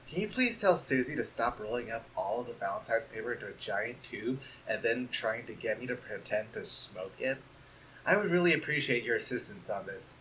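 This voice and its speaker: A man voicing a shy young goat prince who speaks softly, hesitantly and politely. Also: medium pitch, slight background noise, low-quality recording.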